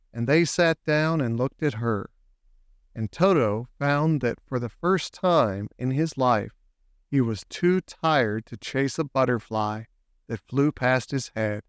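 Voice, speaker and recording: Male audiobook narrator for children's fiction: slow, clear delivery, dry close sound. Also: mid-range voice, no echo. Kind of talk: scripted children's story narration.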